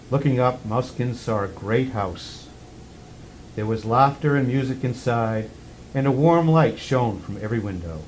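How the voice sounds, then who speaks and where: A slightly muffled recording carries a man reading a children's story as Santa. His voice sits at an average pitch. He tells it expressively, with measured phrasing, over faint background noise. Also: a little mellow. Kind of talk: storybook read-aloud for children.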